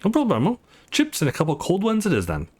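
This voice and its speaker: Guy doing an upbeat young game hero, teasing n coaxing, super lively pitch. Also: quick pace, slightly rough, exaggerated voice. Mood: cheerful. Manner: cheerful, playful, coaxing.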